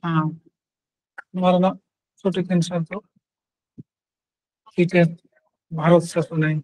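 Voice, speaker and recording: A man teaching a question-and-answer exam lesson, repeating questions and answers in short, halting phrases. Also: high-pitched, flat delivery, darkish tone, dry recording, low-quality recording.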